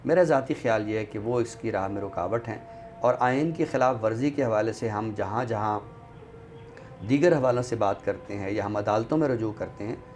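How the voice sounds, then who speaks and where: A man with a Pakistani accent speaks as a guest in a thoughtful discussion, formal and deliberate, pausing often. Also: fairly low pitch, a little mellow, faint music behind. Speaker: guest commentator on a literary show.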